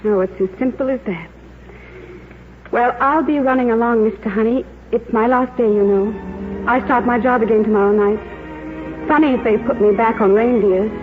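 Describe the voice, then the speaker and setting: A woman's voice: fairly high pitch, normal speed, lightly acted. An actress playing a kind, earnest young woman who speaks plainly and with restraint in a 1950s radio drama. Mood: earnest, hurt. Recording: tinny old radio recording with music.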